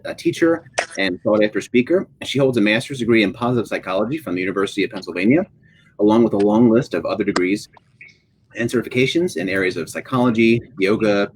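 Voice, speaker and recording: Man hosting an interview, slightly noisy recording. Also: fairly low pitch. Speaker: interviewer, moderator. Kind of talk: hosting an online author interview. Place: live online video event.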